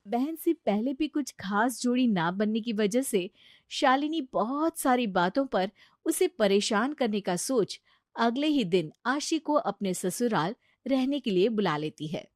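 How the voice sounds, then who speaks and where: A woman's voice: fairly high pitch, expressive, a little slow, no echo, studio quality. A woman reading a scripted family story as its storyteller.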